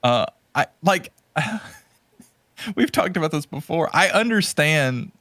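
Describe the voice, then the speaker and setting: A man's voice: fairly high pitch, lively intonation, takes it slowly, fairly bright tone, dry recording. A man co-hosting a podcast in a casual, opinionated and rambling conversational style. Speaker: podcast co-host. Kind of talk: casual chat about video games.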